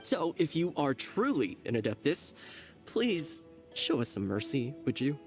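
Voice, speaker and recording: An actor playing a nervous smooth-talking petty crook with a salesy manner, over game music. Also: male voice, mid-range voice, very animated, measured pace. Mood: shifty. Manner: salesy, nervous, talks his way out. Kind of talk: video game voice acting. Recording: game soundtrack under the voice.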